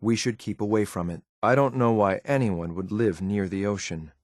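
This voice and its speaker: A low, close and dry male voice for a video game synth enforcer acted by a man. He speaks in clipped, controlled phrases, coolly watchful and faintly disdainful of what is around him. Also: normal speed, a little mellow, no echo, natural voice. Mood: cool, wary. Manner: clipped, matter-of-fact, controlled, faintly condescending. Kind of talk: video game companion voice lines.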